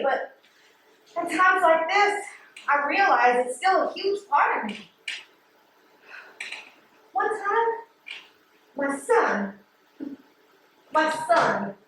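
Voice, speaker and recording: An actress playing a remorseful, self-doubting postal supervisor and weary mother, speaking in a halting, confessional way in a stage play. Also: high-pitched, fairly bright tone, full character voice. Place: theatre stage. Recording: roomy stage, distant mic, faint noise.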